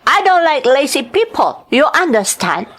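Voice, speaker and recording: A woman's voice: sits high, very animated, unhurried, fairly bright tone, pitched above the performer's own voice, exaggerated voice. A woman playing a stern, bossy female employer in a radio drama, speaking curtly and commandingly. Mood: stern, demanding. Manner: curt, commanding, threatening.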